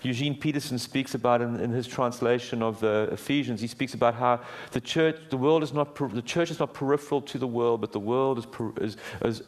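A middle-aged man delivers a sermon with a booming voice, earnest and conversational. He circles back and restarts phrases as he speaks, his voice at an ordinary middle pitch for a man. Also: a little fast, hardly any pauses. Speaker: guest preacher. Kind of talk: sermon with prayer. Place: church hall.

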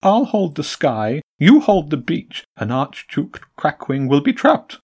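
A man voicing a scheming male pigeon leader who speaks in a high, jolly-sounding voice that turns menacing. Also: big pitch swings, unhurried, darkish tone, breathy edge, exaggerated voice.

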